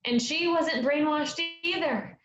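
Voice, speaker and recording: A woman playing an earnest young travelling player in a staged reading of a play, speaking with measured delivery. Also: high voice, a bit bright. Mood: earnest pleading. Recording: echoey, dull lo-fi, noisy room.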